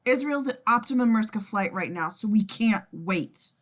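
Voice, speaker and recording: A woman's voice: medium pitch, lively intonation, normal speed, natural voice. A woman playing a businesslike, urgent federal agent giving orders in an amateur script read.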